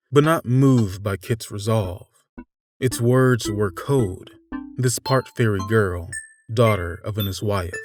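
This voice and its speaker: Low-pitched-to-middle male storyteller over music. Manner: measured, theatrical, pausing between short phrases. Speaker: storyteller narrator. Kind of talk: dramatic scripted fairytale narration. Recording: music bed under the voice. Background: instrumental music.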